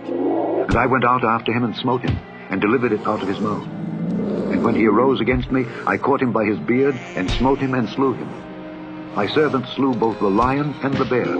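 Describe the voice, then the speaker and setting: A man voicing a fierce young male shepherd hero in an old-fashioned scripture reading, booming and rising with defiance. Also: dull audio, natural voice. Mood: earnest.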